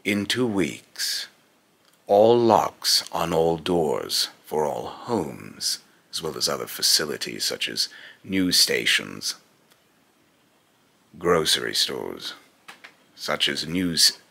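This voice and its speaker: A young man voicing a menacing, pompous god of fear and loss in a solemn villain monologue, with a gravelly voice. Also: a bass voice, sing-song, sharp tone, heavily acted. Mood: cold, commanding. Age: young adult. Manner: slow, pompous, solemn, repeats phrases in retakes. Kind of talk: scripted villain monologue, voice-acting takes. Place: small indoor room at home.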